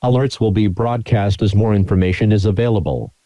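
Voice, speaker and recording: Dark, dry male emergency alert announcer. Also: on the low side, fairly quick, no echo. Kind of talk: scripted formal emergency broadcast announcement.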